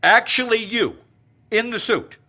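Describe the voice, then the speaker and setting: A man playing a pragmatic, anxious director of a secret research facility in an audio drama, speaking in measured, formal terms. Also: very high-pitched, sharp tone, full character voice. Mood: alarmed.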